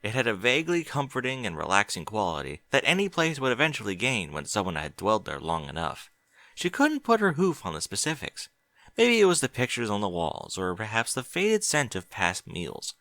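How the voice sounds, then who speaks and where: A man reading scripted fiction as an audiobook narrator, measured and expressive. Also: fairly low pitch, no room sound.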